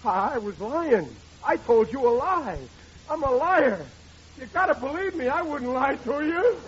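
Excitable blundering American dad, high-pitched. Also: male voice, a little slow. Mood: desperate pleading. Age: middle-aged. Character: blundering, excitable working-class American father, pleading and guilty. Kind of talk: 1940s radio comedy acting. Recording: muffled, noisy old radio recording.

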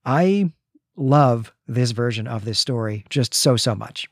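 A man's voice: medium pitch, lively intonation, measured pace, no echo, studio quality. A man co-hosting a podcast, recapping and discussing a story with emphatic repetition. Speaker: podcast co-host.

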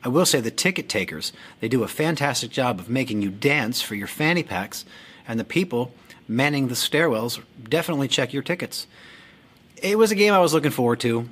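A man's voice: mid-range voice, a bit bright, slightly rough. Man hosting a casual soccer fan podcast. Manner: frank, joking, swears freely. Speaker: podcast host.

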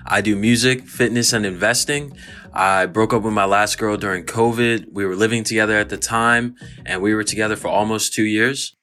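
A man in his twenties, a dating show contestant, laid-back, his sentences trailing off softly. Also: fairly low pitch, fairly even intonation, normal speed, soft background music. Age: 20s. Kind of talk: casual chat.

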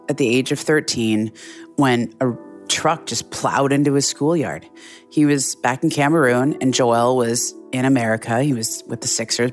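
Very deep-voiced lady journalist, earnest, soft music under her. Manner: earnest, reflective, often restarts phrases. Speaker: journalist guest. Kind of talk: conversational storytelling interview.

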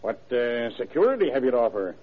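A raspy, domineering businessman father. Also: mid-range voice, sing-song, fast. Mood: gruff, sly. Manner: curt, bullying, barking orders. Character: irascible wealthy older businessman, domineering father. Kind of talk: old-time radio drama acting. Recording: dull, muffled vintage radio recording.

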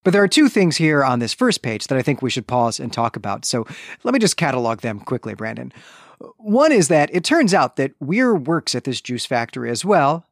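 A man's voice: medium pitch, sing-song, moderate pace, no room sound. Dry-sounding man co-hosting a book podcast. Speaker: podcast co-host. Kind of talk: book discussion, recapping and commenting.

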